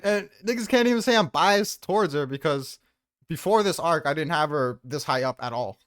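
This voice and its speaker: A man's voice: fairly high pitch, animated, moderate pace, no room sound, clean studio sound. An American man hosting a casual fan debate, brash and slangy with heavy swearing. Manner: brash, slangy, heavily profane.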